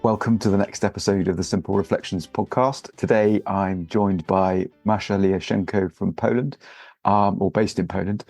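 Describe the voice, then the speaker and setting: A man hosting a podcast interview, talking gently with many pauses and fillers. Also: deep voice, steady tone, soft background music. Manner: hesitant, many fillers and restarts. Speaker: podcast host. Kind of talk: relaxed interview with scripted intro.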